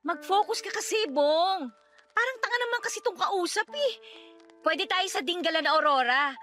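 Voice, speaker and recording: A woman's voice: very high voice, expressive, normal speed, pushed and bright, faint music behind, full character voice. A woman with a Filipino accent playing a talkative, stubborn wife in her thirties in a radio drama, chatty and nagging. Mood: brushing it off.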